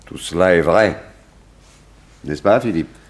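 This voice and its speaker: An actor playing a composed, formal sheriff in a 1960s French radio drama, measured in his delivery. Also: sits low. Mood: grave, composed.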